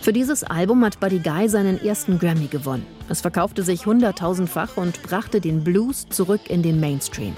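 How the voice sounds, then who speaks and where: A woman giving scripted narration for a news feature in an even, measured delivery. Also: on the low side, soft background music.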